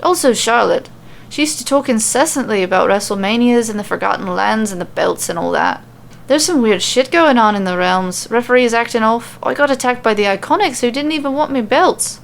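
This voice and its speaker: A woman's voice: on the high side, lively intonation, fairly quick, vocal fry. A woman voicing a brash, dismissive Irish warrior woman with a blunt, nonchalant manner and an Irish accent, in a told fantasy story.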